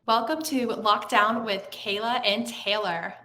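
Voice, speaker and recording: A woman co-hosting an interview podcast, welcoming the guest and asking slightly halting questions. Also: fairly high pitch, steady tone, loud music behind the voice. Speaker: podcast co-host. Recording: echoey room.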